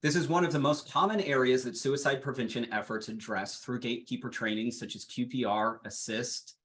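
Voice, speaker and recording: A man's voice: mid-range voice, a little fast. Man presenting slides in a webinar. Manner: steady, reads from prepared slides.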